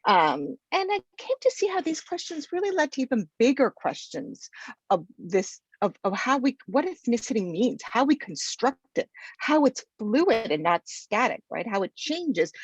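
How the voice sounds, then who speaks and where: Woman professor, from Argentina, chatty lecture, husky voice, dry dull call audio. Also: high voice, big pitch swings, normal speed, dry recording. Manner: chatty, many ums and restarts. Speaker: sociology professor giving a talk. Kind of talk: informal lecture presentation. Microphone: video call.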